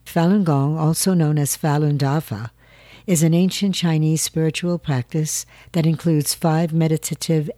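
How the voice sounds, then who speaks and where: A news narrator reads the script in a calm, steady way. The voice is mellow and mid-pitched, recorded close and dry. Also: no echo.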